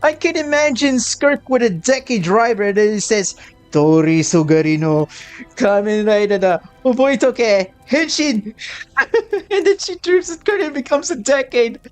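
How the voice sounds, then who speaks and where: A woman's voice: a little high, darkish tone. A woman streaming a game, commenting on the story and reading dialogue aloud with dramatic expression. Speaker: gaming streamer.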